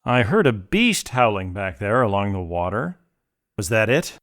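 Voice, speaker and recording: Man as self-assured mercenary, lively intonation. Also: on the low side, moderate pace. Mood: courteous, curious. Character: polite, inquisitive, self-assured male mercenary guest. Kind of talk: audio drama acting.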